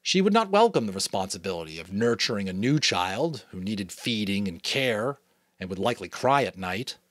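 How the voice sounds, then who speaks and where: A man from New England reading a novel aloud as a father, in a dramatic, expressive narration style. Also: on the low side, moderate pace, dry recording. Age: adult, a father. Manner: expressive, varied delivery. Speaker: audiobook reader.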